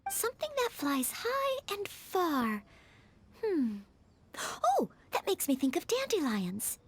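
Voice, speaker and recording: A woman's voice: very high-pitched, wildly swooping pitch, slow pace, slight background noise, full character voice. A woman voicing a sweet, curious and modest young girl in a video game. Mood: curious pondering.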